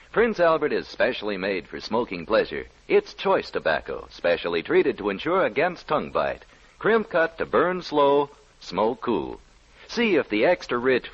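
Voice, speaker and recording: Vintage ad man, mid-pitched, animated folksy sell, dull old radio sound. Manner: folksy, direct salesman address. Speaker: radio commercial announcer. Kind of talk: 1940s radio advertising pitch. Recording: muffled 1940s radio broadcast.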